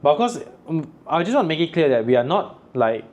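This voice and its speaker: A man's voice: on the high side, very animated, quick pace, a little mellow. Frank Malaysian man giving advice. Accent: Malaysian English. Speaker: podcast host. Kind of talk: casual chat.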